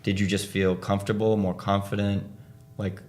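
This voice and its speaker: Man, podcast host, from Boston, low voice, casual, joking interviewer. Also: little pitch movement, moderate pace, darkish tone. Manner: asks easygoing, joking questions.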